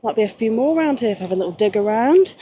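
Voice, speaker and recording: Mellow woman outdoors, noisy air. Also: a little high, animated, very fast. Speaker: presenter of a mudlarking video. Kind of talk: casual chat while searching outdoors. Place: outdoors on a river foreshore. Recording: outdoor ambient noise.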